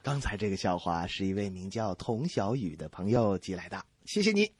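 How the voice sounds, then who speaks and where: A man's voice: sits low, very animated, fairly quick, background noise. A man co-hosting a scripted comedy radio show, telling jokes and bantering as the exasperated straight man. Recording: music bed behind voice.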